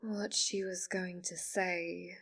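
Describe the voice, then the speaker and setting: A woman playing a polite, obsessively devoted fox spirit in an ASMR roleplay, speaking in formal, composed phrases with quiet menace. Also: fairly low pitch, little pitch movement, vocal fry, far from the mic, full character voice. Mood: calm, reassuring. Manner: formal, measured, composed wording.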